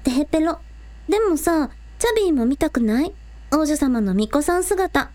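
A woman's voice: sits high, moderate pace. A young woman playing a bubbly, earnest teenage girl idol in a Japanese audio drama. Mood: playful. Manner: excitable, cute, earnest.

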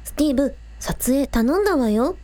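Woman as a spirited high-voiced village idol. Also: animated, normal speed, dark tone, dry recording. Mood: eager, polite. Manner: polite to superiors, breezy with friends. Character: spirited, earnest young village idol, younger sister. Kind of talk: radio drama acting.

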